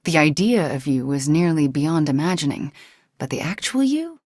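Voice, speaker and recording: A woman voicing a haunted young sorceress superheroine in a video game, earnest and wry, with a soft, breathy voice. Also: low voice, sing-song, moderate pace, no echo, clean studio sound. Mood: earnest, confiding.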